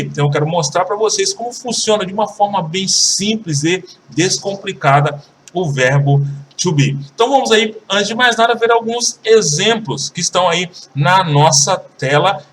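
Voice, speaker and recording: A Brazilian man teaching an English lesson, switching between languages and repeating example sentences slowly and carefully. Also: a little high, a bit bright, distant mic, a little hiss or hum. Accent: Brazilian Portuguese.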